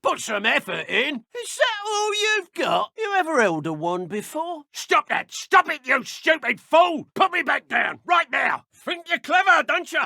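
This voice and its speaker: Cocky, gravelly British dark wizard thug. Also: male voice, very high voice, big pitch swings, a little slow, few pauses, dry recording, clean studio sound, full character voice. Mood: taunting, angry. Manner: colloquial, taunting, menacing banter. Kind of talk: video game character voice clips.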